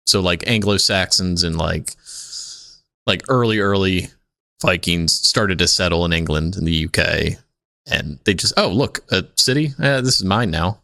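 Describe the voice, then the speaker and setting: A man's voice: sits low, moderate pace, vocal fry, bright, forceful tone, no room sound, studio quality. A man co-hosting a comedy podcast in casual, playful banter, swearing freely.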